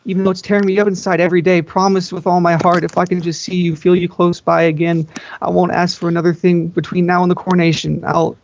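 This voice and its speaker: A man's voice: high voice, little pitch movement, fast, vocal fry, muffled recording, slight background noise, voice pushed up. A man voicing a desperate, lonely young sister in a story, pleading insistently.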